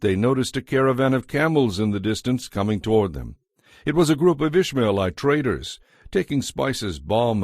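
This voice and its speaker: A man's voice: medium pitch, darkish tone, no room sound, clean studio sound. A man giving a scripted narration as the narrator of a dramatized audio Bible.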